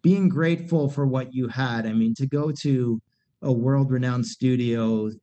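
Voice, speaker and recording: A man's voice: mid-range voice, unhurried, dark tone. Nasal man chatting candidly. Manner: candid, rambling, many fillers and restarts. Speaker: guest, punk band singer. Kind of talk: casual podcast conversation. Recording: dull lo-fi podcast recording.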